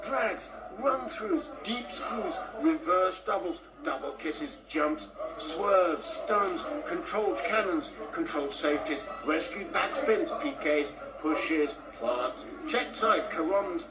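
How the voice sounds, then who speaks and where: A man's voice: sits high, rough recording. A man reading a literary short story aloud. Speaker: author reading own story.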